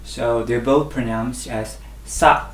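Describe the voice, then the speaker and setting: A man's voice: mid-range voice, fairly even intonation, slow, roomy sound. A man whose first language is Burmese, teaching a language lesson and patiently repeating each sound three times. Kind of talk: slow instructional lesson.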